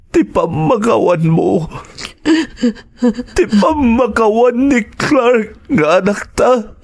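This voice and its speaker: Man acting slow, husky, remorseful Ilocano husband. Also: high-pitched, heavily acted. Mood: tearful, begging. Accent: Filipino (Ilocano). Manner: slow, earnest, pleading. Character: remorseful returning husband and father pleading for forgiveness. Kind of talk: audio drama acting.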